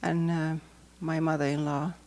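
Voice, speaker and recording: A woman from Finland with a Finnish accent, speaking English as a second language and giving a personal testimony in a careful, hesitant way with frequent pauses. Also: sits low, flat delivery, a little mellow. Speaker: church member giving testimony.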